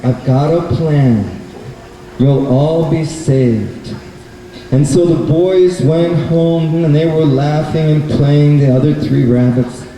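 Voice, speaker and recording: A man narrates a children's puppet show in plain, deliberate phrases. His voice is fairly high for a man but very mellow and dull-edged, echoing heavily around an indoor event space. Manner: simple, deliberate, repeats words for children. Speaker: storyteller and puppeteer. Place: public event space indoors, far from mic. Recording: very echoey noisy hall, distant mic.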